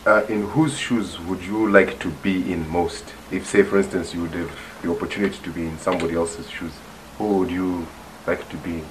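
Deep-voiced interviewer, noisy room. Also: male voice, steady tone, moderate pace. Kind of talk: interview questions.

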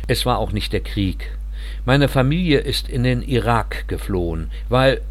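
A man reading as a quiet Syrian refugee. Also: medium pitch, measured pace. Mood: earnest. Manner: calm, earnest first-person account. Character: reserved 31-year-old Syrian Kurdish refugee man. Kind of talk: audiobook reading.

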